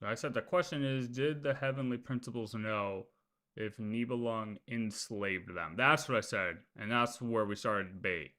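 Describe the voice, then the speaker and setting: Man, livestream host, bright forceful voice, casual, argumentative, emphatic, repeats himself. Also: lowish voice, moderate pace. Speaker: livestream host reacting to game lore. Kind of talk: casual live commentary, arguing a point.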